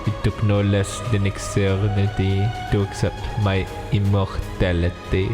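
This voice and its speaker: A man reading a visual novel aloud for a let's play, slow and dramatic, stopping to joke with a friend. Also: fairly low pitch, level tone, long reverb tail, music in the background. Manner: reads slowly with pauses, breaks off to joke and laugh.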